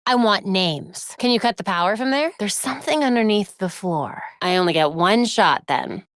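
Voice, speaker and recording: Actress as young american vigilante woman in a video game, very animated, dry wit, close dry recording. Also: medium pitch, moderate pace, no room sound, natural voice. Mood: focused, serious. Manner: dry, wry asides.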